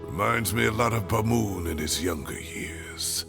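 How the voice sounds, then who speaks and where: An actor playing a weary, earnest older scholar in a video game, speaking in a reflective way. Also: male voice, lowish voice, unhurried, vocal fry. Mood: earnest, wistful. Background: background music.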